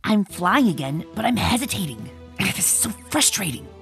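A woman's voice: medium pitch, sing-song, moderate pace, gravelly. A woman voicing a brash, cocky young female cartoon pegasus in a comic dub, with a boastful, dramatic delivery. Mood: worried, frustrated. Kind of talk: animated comic dub acting. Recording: voice over background music.

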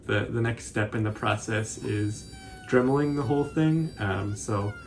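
A man in his twenties giving a casual, informal tutorial commentary in a halting, hesitant way. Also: fairly low pitch, background noise. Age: 20s. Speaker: cosplay tutorial presenter.

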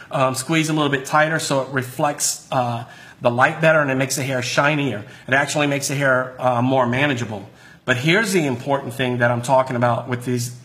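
A middle-aged male hairdresser giving an excited product demonstration, talking with frequent ums, filmed on a phone indoors. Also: medium pitch. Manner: enthusiastic, salesy, with frequent ums.

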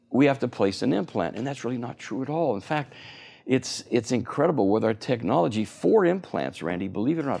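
Gravelly-voiced older man explaining. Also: medium pitch, a little mellow. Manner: enthusiastic, explanatory, frequent fillers. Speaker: oral surgeon guest. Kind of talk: TV interview. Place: TV studio.

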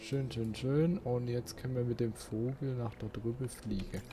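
A man's voice: mid-range voice, steady tone, moderate pace, noisy background. A man giving casual let's play commentary, thinking aloud and muttering questions to himself.

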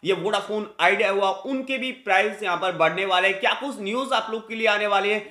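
Man, 30s, high-pitched, echoey room, emphatic video news update. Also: a little fast, bright, forceful tone. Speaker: tech news YouTuber. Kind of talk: direct-to-camera news update. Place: small indoor room with hard walls.

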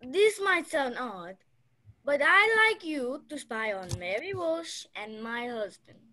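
Young woman as a slow, high-pitched dramatic rich lady. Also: sing-song, bright, forceful tone, heavily acted. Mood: imperious. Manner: dramatic, haughty, halting. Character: selfish, dramatic rich Russian lady, imperious mistress. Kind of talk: radio play acting.

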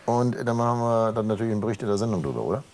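Man, fifties, talk show host, teasing, chatty, lively pitch, studio lapel mic. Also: racing, slight background noise. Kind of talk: joking casual studio chat.

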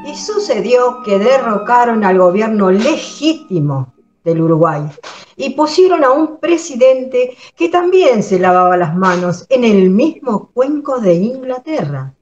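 A woman narrates a radio drama slowly, with a lively, rising and falling voice. The sound is echoey and dull, with faint music underneath. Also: a little high. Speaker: narrator and cast member.

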